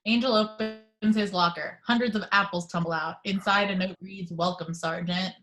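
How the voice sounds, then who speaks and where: A woman's voice: mid-range voice, steady tone, moderate pace, fairly bright tone, faint music behind. Woman narrator for screenplay table read. Middle register, reads stage directions. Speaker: narrator reading action descriptions.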